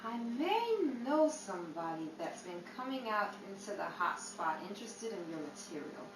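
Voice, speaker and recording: Actress as cautious showgirl's manager, animated female voice, persuasive, radio drama, far from the mic. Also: medium pitch, normal speed, close to an everyday voice. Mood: coaxing, cautioning. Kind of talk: 1930s old-time radio drama acting. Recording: noisy, echoey, distant mic.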